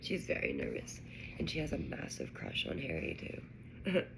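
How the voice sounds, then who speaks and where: A woman's voice: rumbling low, wildly swooping pitch, normal speed, gravelly, background noise. A woman reading to a child, talking casually with chatty, informal asides. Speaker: storyteller reading a children's book aloud to a child. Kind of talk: casual chat and read-aloud narration.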